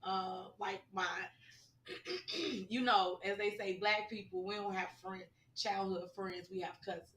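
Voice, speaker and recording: A woman co-hosting a podcast in casual, slangy chat, her voice hoarse. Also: mid-range voice, unhurried, a bit bright, a little hiss or hum. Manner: chatty, slangy, laughing. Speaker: podcast co-host. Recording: roomy, distant mic.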